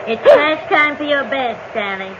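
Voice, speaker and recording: A woman's voice: sits high, very animated, unhurried. An actress playing a stern, middle-aged frontier farm mother who bosses her household curtly, in old-time radio western style. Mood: brisk. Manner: curt, bossy. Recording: dull, noisy old radio recording.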